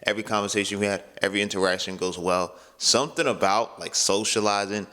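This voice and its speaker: A man with a hoarse, worn-out voice, telling a story to the camera in a relaxed, slangy way full of asides. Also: fairly low pitch, quick pace, big-room sound. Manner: laid-back slangy talk, many filler asides. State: hoarse, voice shot from yelling. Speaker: vlogger.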